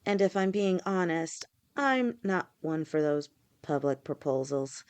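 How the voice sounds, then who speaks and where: A woman voicing a gentle, protective snake-woman girlfriend who talks softly and reassuringly to her partner in an audio roleplay. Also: low-pitched. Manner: soft, reassuring, speaks directly to a partner.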